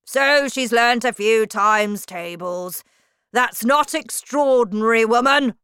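Woman doing a big scary headmistress, yelling, loud and mean, slow and heavy. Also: medium pitch, lively intonation, clean tone, no room sound, clean studio sound, pitched above the performer's own voice. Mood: angry shouting. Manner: loud, shouting, dangerous. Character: huge, furious, bullying female head teacher.

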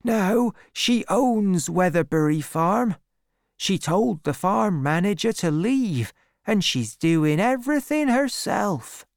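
A gossiping farmer , played by a female storybook reader, passes on news with a raised pitch. The voice is that of a market onlooker in a storybook, recorded close and dry. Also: expressive, takes it slowly, no room sound.

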